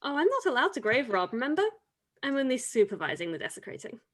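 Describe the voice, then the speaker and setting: A woman's voice: fairly high pitch, sing-song, fast talker. A woman playing a devout, teasing island woman in an audio drama table read, speaking in a dry, reflective way. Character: wry, devout island woman, teasing grave-robbing companion.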